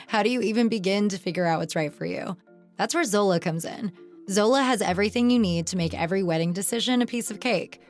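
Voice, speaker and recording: A woman doing a conversational, scripted advertisement voice-over. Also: fairly low pitch. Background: music.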